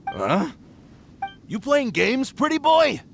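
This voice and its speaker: A man's voice: very high voice, big pitch swings, slow, gravelly, soft background music, heavily acted. A man voicing a mocking bandit thug in a video game, taunting in slangy tough talk. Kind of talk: video game character acting.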